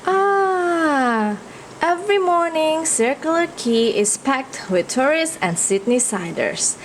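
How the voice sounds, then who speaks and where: A woman's voice: high-pitched, lively intonation, slow pace, a little hiss or hum, low-quality recording. A woman with an Indonesian accent reads a children's picture book aloud expressively, engaging young listeners with questions and exclamations. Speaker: children's storyteller.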